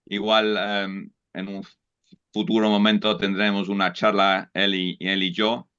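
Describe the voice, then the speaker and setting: A man whose first language is English, asking questions in halting Spanish and searching for words. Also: lowish voice, level tone, pushed and bright, clean tone, highs cut off. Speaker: audience member asking questions.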